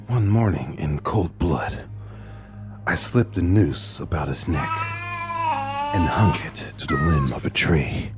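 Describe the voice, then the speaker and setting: Low male narrator for a gothic horror reading; intense, whisper-to-outburst delivery over faint music. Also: lively intonation, slow. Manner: intense, swings from hushed whispers to rising anguished outbursts. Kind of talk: dramatic horror story reading.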